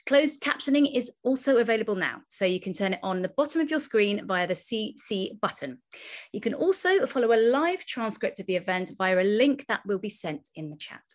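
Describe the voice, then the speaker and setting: A woman's voice: mid-range voice, animated, brisk. A woman film critic hosting an online directing masterclass, friendly and upbeat, reading a scripted introduction before interviewing her guest. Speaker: film critic, broadcaster and event host.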